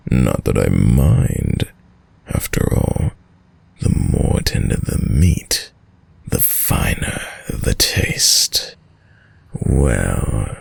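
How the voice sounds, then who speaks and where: An extremely low, very breathy male vampire, acted by a man, purrs straight to the listener in an intimate audio roleplay. He is courtly and sadistic, lingering very slowly over formal phrases with silky, mocking dominance and a gravelly rasp. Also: a little mellow, heavily acted. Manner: slow, silky, formal, teasing menace with drawn-out pauses.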